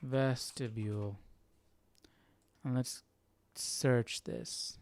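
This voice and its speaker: A man's voice: mid-range voice, steady tone, extremely slow, a little mellow, no echo. A young man hosting a casual study livestream, musing aloud as if to himself. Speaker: livestream host. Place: small indoor room.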